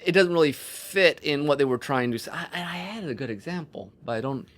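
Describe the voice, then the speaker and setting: A man speaks as a podcast co-host in an informal discussion. His delivery is halting and exploratory, as he works out his thoughts aloud and often restarts mid-sentence. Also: a little high, lively intonation. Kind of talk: casual discussion of storytelling.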